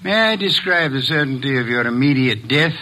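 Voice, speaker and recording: An actor playing a fat, pompous detective in a 1950s radio drama, speaking in calm, measured, formal sentences. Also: male voice, mid-range voice. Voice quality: formal, measured, elaborate diction.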